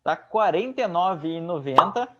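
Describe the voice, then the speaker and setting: A man's voice: high voice, animated, normal speed. A young man in his twenties with a Brazilian accent, giving a slangy, casual review as co-host. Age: 20s. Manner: slangy, colloquial. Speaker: co-host of a comics review channel. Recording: slightly dull, lo-fi sound.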